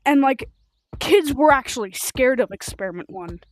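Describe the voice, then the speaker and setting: High, animated female game streamer. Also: normal speed. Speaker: livestreamer of a video game. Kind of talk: rambling casual livestream chat while gaming.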